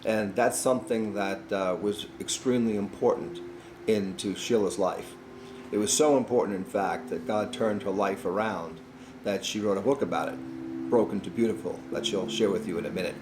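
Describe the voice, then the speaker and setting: A man's voice: on the low side, normal speed, roomy sound, noisy background. A man hosting a faith-based podcast interview, speaking earnestly and encouragingly. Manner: earnest, encouraging, religious framing. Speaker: podcast host.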